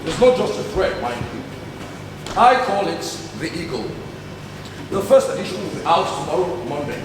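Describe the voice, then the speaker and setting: A man acts a young student firebrand with a Nigerian accent, declaiming his ideals on stage in a high voice. The sound is very echoey and distant, with clear noise around it. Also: sing-song, moderate pace. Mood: defiant resolve. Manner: declamatory, oratorical. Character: fiery young male student activist leader, idealistic and defiant. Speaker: stage actor. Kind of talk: projected stage play acting. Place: large theatre hall, recorded from far off. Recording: echoey hall stage play, distant mic, noisy.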